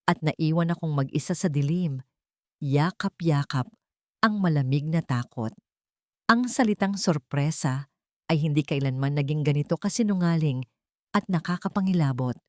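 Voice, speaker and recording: A woman reads a dramatic first-person story. Her voice sits a little low for a woman. The recording is very dry and close, with no room sound. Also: darkish tone, no room sound. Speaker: audio story narrator.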